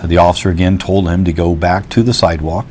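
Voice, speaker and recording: A man's voice: deep voice, steady tone, fast talker, a little mellow, slight background noise. A man with an American accent speaking as a police chief, giving an official statement to the press.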